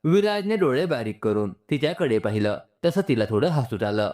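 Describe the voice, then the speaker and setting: A man narrating a scripted emotional story, voicing the dialogue in an expressive way. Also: medium pitch, moderate pace.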